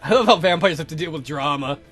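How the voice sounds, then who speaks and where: Guy doing a lets play, high bright voice, jokey and sweary, music faintly behind him. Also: fast talker. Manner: joking, sweary, exaggerated. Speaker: let's play host. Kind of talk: casual game commentary and dramatic read-aloud.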